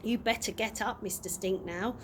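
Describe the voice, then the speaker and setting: A woman's voice: mid-range voice, normal speed, lightly acted. A woman reading the part of an eager, caring young girl in a storybook, earnest and questioning. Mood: urgent. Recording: audible background noise.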